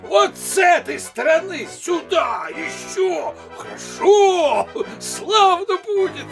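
A bustling peasant coachman in a radio comedy. The voice is very high and creaky, swooping widely as he calls out boisterously. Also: animated, slow pace, fairly bright tone, faint music behind, exaggerated voice. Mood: bustling, cheerful. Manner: boisterous, calling out. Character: lively, bustling peasant coachman readying a carriage. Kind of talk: theatrical classic comedy audio play acting.

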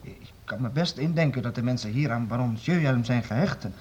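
An actor playing a mild, unsure young baron in a period radio play, hesitant, deferential and stammering. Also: male voice, mid-range voice, brisk, darkish tone, natural voice. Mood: uncertain. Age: young adult. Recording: dull, noisy old radio recording.

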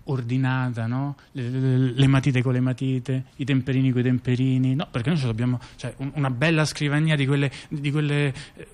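A man performing conversational satirical storytelling, halting and digressive, repeating phrases and checking in with his listener. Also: medium pitch, little pitch movement, darkish tone. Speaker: storyteller-performer in a two-person stage dialogue.

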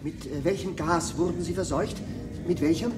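An actor playing an agitated, obsessive scientist who treats gas victims, in a radio drama. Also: male voice, fairly high pitch, normal speed, a little mellow, faint music behind, close to an everyday voice. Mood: probing. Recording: echoey, distant, clearly noisy recording.

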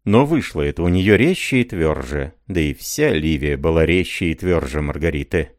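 A man narrating a novel in a measured, literary reading. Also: rumbling low, animated, dry recording, clean studio sound.

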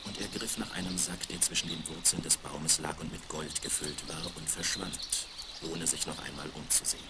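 A man's voice: extremely low pitch, steady tone, moderate pace. A man reading a scripted fairy tale for children as the narrator of a radio play. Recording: crackly, very noisy 1970s vinyl record.

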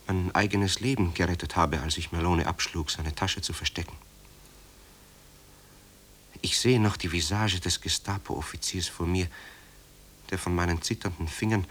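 Low-voiced, tormented composer. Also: male voice, a bit bright, a little hiss or hum. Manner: brooding, rhetorical, literary. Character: guilt-ridden, tormented composer son, bitter and brooding. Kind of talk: German radio drama acting.

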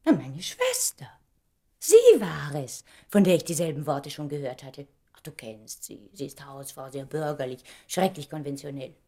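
A woman's voice: low-pitched, lively intonation, moderate pace, a little mellow. A woman playing a vain, wounded young stage star in a German radio play, speaking in a dramatic, self-important and indignant way. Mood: piqued, disdainful.

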